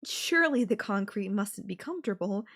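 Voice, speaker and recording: A woman's voice: medium pitch, expressive, normal speed, fairly bright tone. A woman voicing a possessive, sweetly menacing yandere girlfriend in an intimate audio roleplay, coaxing the listener with affection and veiled threats. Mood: playful, doting.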